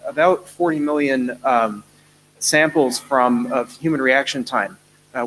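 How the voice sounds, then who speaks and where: Conference speaker, New Zealand man in his thirties, explanatory and steady, mid-pitched, faint hum behind. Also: low-quality recording. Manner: clear, explanatory, steady with frequent "uh" fillers. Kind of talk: technical conference presentation. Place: large conference hall, at a lectern.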